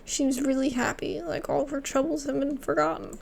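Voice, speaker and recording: A woman doing casual gameplay commentary, expressive and reactive, sometimes reading dialogue aloud. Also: high voice, fairly quick, gravelly, slight background noise. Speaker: gaming video creator.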